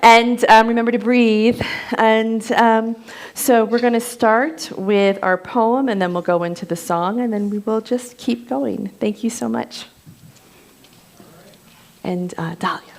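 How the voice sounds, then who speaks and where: Casting: female service leader, higher register, unhurried, informal introductions. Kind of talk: casual spoken introduction to a congregation.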